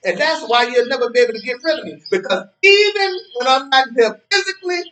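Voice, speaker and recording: An actor plays an argumentative old man with a high voice in a stage play. He stammers and hammers his points by repeating them. The recording is roomy and distant, with some noise behind it. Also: animated, quick pace, a bit bright. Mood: needling, irritable. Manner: stammering, repetitive, emphatic, argumentative. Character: cranky, worried old man bickering with his friend. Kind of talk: live stage play dialogue.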